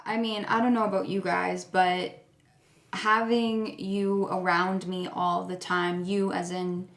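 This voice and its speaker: A woman's voice: fairly low pitch, level tone, takes it slowly. A young woman hosting a casual family podcast, chatty and asking her relatives leading questions. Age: young adult. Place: family home, around a table. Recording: echoey room.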